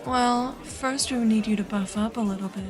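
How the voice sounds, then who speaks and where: A woman's voice: on the high side, a little fast, over music, crowd noise, close to an everyday voice. A woman voicing a confident, take-charge young female trainer in a fan fiction reading, friendly and teasing. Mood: frank encouragement.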